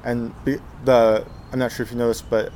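A man in his twenties from Texas, telling his life story in an interview, halting with many fillers and restarts. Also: medium pitch, level tone, darkish tone, a little hiss or hum. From Texas, USA. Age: 20s. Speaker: guest, Zen monk.

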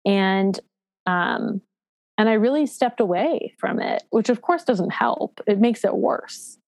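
A woman in her thirties telling her own story candidly, reflective and halting, with frequent fillers and restarts and a frank, self-deprecating edge. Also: mid-range voice, lively intonation, gravelly, darkish tone, no room sound. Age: 30s.

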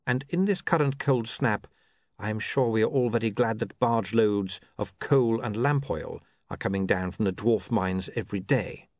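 A man voicing a calm, coolly ironic ruler of a fantasy city, measured and precise, in an audiobook. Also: on the low side, no echo, lightly acted.

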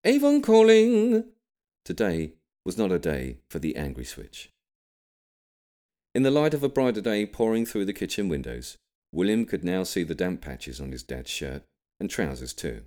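A British man reading an audiobook, delivering scripted comic narration in an expressive storytelling manner. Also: deep voice, moderate pace. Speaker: audiobook reader.

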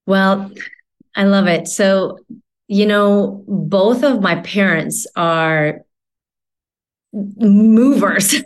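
A woman's voice: mid-range voice, very slow, long pauses. A woman in her forties from Latvia, a native Russian speaker, chatting as a podcast guest in a rambling way, with lots of fillers and restarts. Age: 40s. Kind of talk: casual interview chat.

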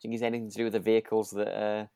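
A man's voice: lowish voice, little pitch movement, very fast, dark tone, clear, resonant tone, dry recording, studio quality. A British man co-hosting a podcast, chatting casually and somewhat tentatively as he recaps a radio drama. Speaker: podcast co-host.

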